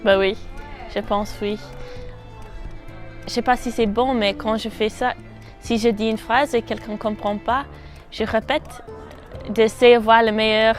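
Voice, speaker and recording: A woman's voice: a little high, steady tone, a little slow, pauses often, faint music behind. A woman who is not a native French speaker, chatting casually about how people understand her in an interview.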